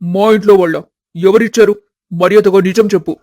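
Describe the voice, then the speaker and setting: A man's voice: high-pitched, steady tone, fairly quick, a little mellow, no echo, clean studio sound, lightly acted. A man playing a protective grown son, stern and confrontational, defending his family in a storybook melodrama. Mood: angry.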